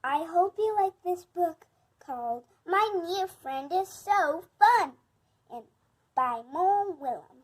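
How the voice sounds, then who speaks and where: A young girl hosting a children's read-aloud, reading haltingly word by word and adding playful side comments. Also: very high voice, expressive. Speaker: host reading a picture book aloud.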